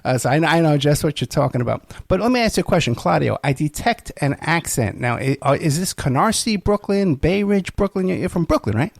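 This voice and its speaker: A man's voice: on the high side, expressive, creaky, no echo, clean studio sound. A man with a New York accent hosting an interview, chatty and joking as he asks questions. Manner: jokey, chatty, quick. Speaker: podcast host. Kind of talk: interview conversation.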